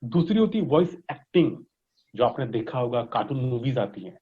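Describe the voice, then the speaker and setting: A man's voice: mid-range voice, sing-song, normal speed. A man with an Indian accent giving explanatory interview answers, mixing in English and checking in like a teacher. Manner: didactic, frequent check-in tags. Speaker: interview guest, voice industry expert. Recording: dull, lo-fi audio.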